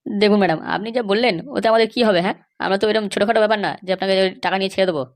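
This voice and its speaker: A woman's voice: mid-range voice, rapid-fire, close to an everyday voice. A woman voicing a stubborn, bribe-seeking police officer in a comedy cartoon, insistent, lecturing and dismissive. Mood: firm. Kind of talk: Bengali comedy cartoon dialogue.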